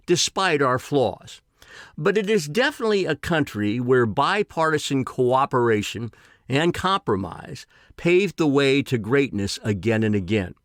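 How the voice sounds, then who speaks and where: A man in his sixties reading his own personal essay in a deliberate, emphatic way with formal phrasing. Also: medium pitch, dry recording, studio quality. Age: 60s.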